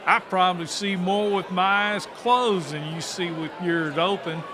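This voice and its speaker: An actor voicing a cocky, crude, folksy cowboy-talking bar hustler with a drawling delivery in an audiobook drama. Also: male voice, sits high, fairly even intonation, a little slow. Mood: matter-of-fact. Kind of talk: dramatised audiobook character acting. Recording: music and crowd noise behind.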